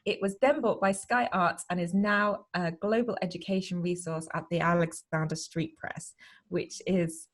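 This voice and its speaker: A woman's voice: lowish voice, steady tone, moderate pace. A woman hosting an interview show, speaking in an effusive, upbeat and chatty way. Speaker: show host.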